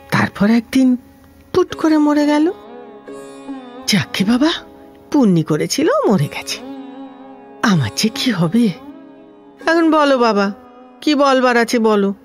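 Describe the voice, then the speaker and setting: A woman playing a talkative, grumbling elderly widowed housemaid with a Kolkata Bengali accent in an audio drama, speaking in a colloquial, plaintive, scolding way. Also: a little high. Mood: plaintive, gossipy.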